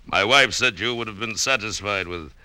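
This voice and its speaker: An actor playing a hearty, scheming pirate captain in a classic radio drama. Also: male voice, fairly low pitch, normal speed, bright, forceful tone, no echo. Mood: sly, easy. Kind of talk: 1950s radio drama acting.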